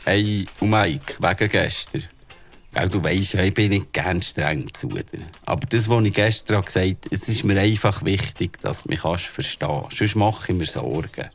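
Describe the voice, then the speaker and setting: A man's voice: low-pitched, moderate pace, a little hiss or hum. An actor playing a kind but worried father, speaking earnestly with a Swiss German accent. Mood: calm. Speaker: father character. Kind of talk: children's audio drama acting.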